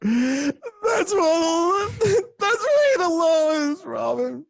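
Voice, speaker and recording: A woman's voice: extremely high pitch, sing-song, drawn-out words, vocal fry, no room sound, clean studio sound, exaggerated voice. A woman voicing a solemn, law-abiding male caped crusader in an amateur radio drama, breaking into laughter mid-line. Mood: giggly.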